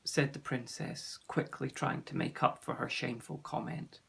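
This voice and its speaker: A man's voice: medium pitch, animated, normal speed, vocal fry, fairly bright tone, husky. A man narrating a children's story from a script as an audiobook reader.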